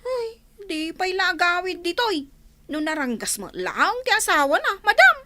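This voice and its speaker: A woman's voice: very high-pitched, very animated, unhurried, sharp tone, exaggerated voice. A woman playing an exasperated, protective scolder in an Ilocano radio drama.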